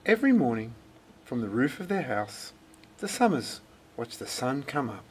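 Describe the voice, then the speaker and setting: A man reading a children's story aloud in a dramatic, deliberate way. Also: mid-range voice, very animated, slight background noise. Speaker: storybook reader. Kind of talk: expressive scripted children's story narration.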